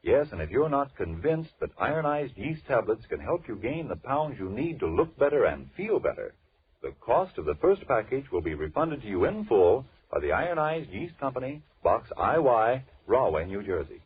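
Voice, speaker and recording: A man working as a 1940s radio announcer, delivering a sponsor pitch in an emphatic, salesman-like way. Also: big pitch swings, moderate pace. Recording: tinny, rough 1940s radio recording.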